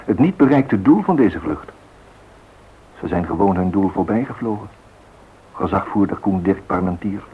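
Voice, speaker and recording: A man narrating a scripted radio documentary drama in a measured, literary storytelling manner. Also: low voice, animated, a little hiss or hum. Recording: narrow phone-like radio sound.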